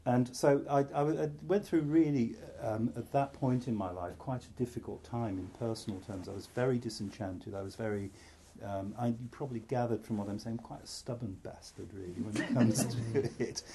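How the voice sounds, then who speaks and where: A middle-aged psychiatrist from North Wales giving a candid, self-deprecating talk to an audience, full of restarts and asides. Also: male voice, mid-range voice, fairly quick, mellow, slight background noise.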